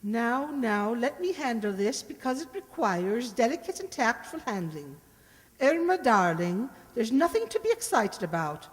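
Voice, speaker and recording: A woman playing a brash, tactless older landlady in an old-time radio comedy, blustery and sharp-tongued. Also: a little high, big pitch swings, measured pace. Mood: breezy. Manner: blustery, scolding. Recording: hall reverb on stage-like recording.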